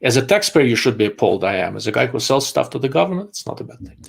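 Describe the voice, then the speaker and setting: Gravelly male host. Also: medium pitch, big pitch swings, racing. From Russia. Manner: rambling, frequent fillers and restarts. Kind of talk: live-stream casual chat.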